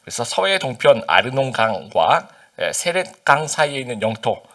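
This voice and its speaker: A man's voice: medium pitch, big pitch swings, moderate pace, bright, forceful tone. A man who is a pastor from Busan, with a slight Busan accent under standard Seoul speech, giving a conversational sermon full of fillers and questions to the congregation. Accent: slight Busan dialect under standard Seoul Korean. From Busan, South Korea.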